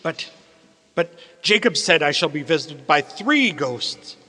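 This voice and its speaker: A man's voice: a little high, very animated, measured pace, pushed and bright, slightly rough, full character voice. A man playing a cranky, fearful old miser in a theatrical, muttering radio play style. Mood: questioning. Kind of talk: stage radio play acting.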